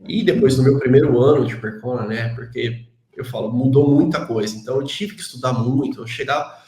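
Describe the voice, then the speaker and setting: A talkative Brazilian man with a Brazilian Portuguese accent, chatting as an interview guest in a middle-pitched voice. He strings his thoughts along with tag questions and self-deprecating asides. The sound carries a roomy echo. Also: a little fast, darkish tone. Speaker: guest, database engineer. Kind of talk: casual interview chat.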